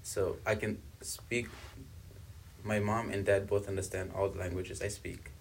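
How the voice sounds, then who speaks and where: Deep-voiced young man raised in South Africa. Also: flat delivery, a little slow, slight background noise, poor audio. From South Africa, born in Congo. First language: Swahili and Kibembe. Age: young adult. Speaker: podcast guest, university student. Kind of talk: casual interview chat.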